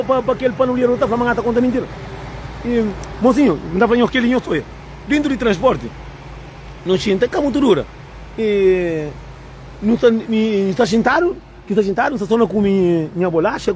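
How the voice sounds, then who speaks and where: A woman's voice: medium pitch, warm tone, slight background noise. A woman who makes videos, delivering a rambling, repetitive rant straight to her viewers. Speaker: video creator. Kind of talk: ranting monologue to viewers.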